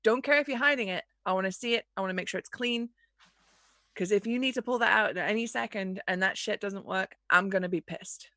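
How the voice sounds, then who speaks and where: Bossy female marine for a sci-fi tabletop game acted by a woman, commanding, sweary, mid-pitched, dry. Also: a little fast, pushed and bright, no room sound. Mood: stern, demanding.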